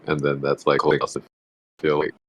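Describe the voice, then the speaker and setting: A man in a casual, reflective group discussion, musing tentatively and haltingly as he works through his thoughts. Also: a bass voice, monotone, sharp tone, husky. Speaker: book club discussion participant.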